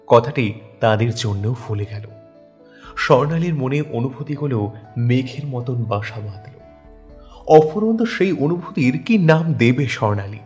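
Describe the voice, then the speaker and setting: A man narrating a scripted love story in a dramatic, wistful, expressive manner. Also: mid-range voice. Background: soft instrumental music.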